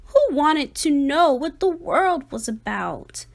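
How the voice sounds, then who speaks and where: Female storybook reader, high register, slow and expressive delivery, children's rhyming read-aloud. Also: gravelly. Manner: dramatic, deliberate phrasing.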